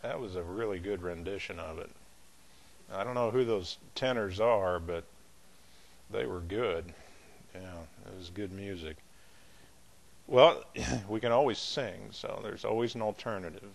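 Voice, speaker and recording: A man's voice: on the low side, creaky. A man leading a Bible study as pastor, chatting in a folksy, easygoing way between readings. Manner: folksy, chatty asides with light laughs; measured, emphatic scripture reading.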